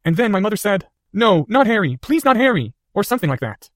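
Young boy wizard, higher voice, quick and earnest, as a male reader plays him. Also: big pitch swings, no echo, clean studio sound. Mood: shaken.

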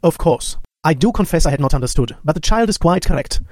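A man plays a haughty aristocratic wizard villain for an audiobook, pitched a bit high. He talks briskly and formally, with smug, cold menace, in a very dry recording. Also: expressive, a little mellow, no room sound, natural voice. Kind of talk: audiobook character acting.

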